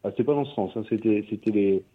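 A man with a lowish, husky voice talks through a tinny, phone-like radio line. He speaks as a guest musician in a casual interview. He hesitates a lot and restarts his sentences. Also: fast talker. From Pyrénées-Orientales, France. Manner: hesitant, many fillers and restarts.

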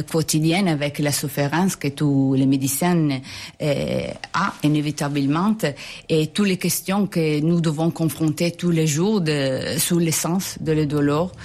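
This is a woman in her forties with an Italian accent and a slightly low voice. She is a physician answering an interviewer's questions. Her delivery is halting, full of fillers, as she looks for words in a language that is not her own. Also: a little mellow. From Italy. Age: 40s. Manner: hesitant, searching for French words with many fillers.

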